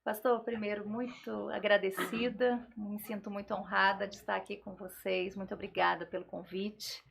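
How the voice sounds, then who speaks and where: A woman with a Carioca Brazilian accent giving personal testimony as a podcast guest, often pausing and checking for agreement. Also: mid-range voice, level tone, gravelly, fairly bright tone. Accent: Carioca Brazilian Portuguese. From Rio de Janeiro, Brazil.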